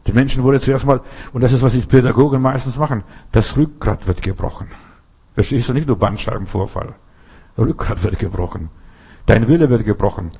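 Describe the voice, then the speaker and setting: A man's voice: medium pitch, a little fast, gravelly, dark tone, husky. A man preaching a sermon, emphatic and full of rhetorical questions, often restarting his sentences.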